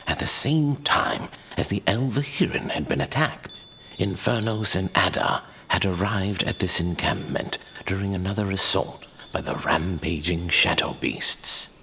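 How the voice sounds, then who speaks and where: A man's voice: low-pitched, a little slow, sharp tone, a little hiss or hum. A man narrating a scripted fantasy saga as a dramatic storyteller.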